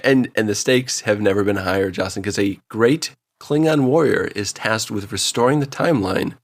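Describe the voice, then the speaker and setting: A man's voice: fairly low pitch, normal speed, no room sound. A man co-hosting a podcast, bantering casually and comedically about a TV show in a teasing, mock-formal way. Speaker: podcast co-host.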